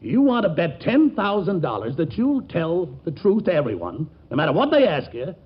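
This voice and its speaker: A shifty middle-aged businessman in old radio comedy, with a high, swooping voice. He blusters, hems and wheedles, through a dull, hissy vintage recording. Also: huge pitch swings, moderate pace. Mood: sly, challenging. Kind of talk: 1940s radio comedy acting.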